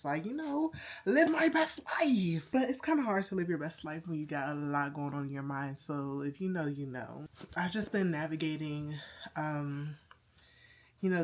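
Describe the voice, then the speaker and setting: A woman in her thirties speaking candidly and from the heart in a casual confessional vlog, stretching words for emphasis. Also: low-pitched. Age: 30s. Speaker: vlogger.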